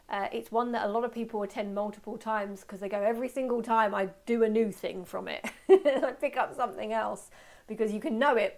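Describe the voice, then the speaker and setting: Female webinar presenter, medium pitch, informal and talkative, excitable and self-deprecating, speaking live to learners. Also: gravelly. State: voice slightly going from talking. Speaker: photography workshop presenter. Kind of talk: informal live webinar talk with audience questions.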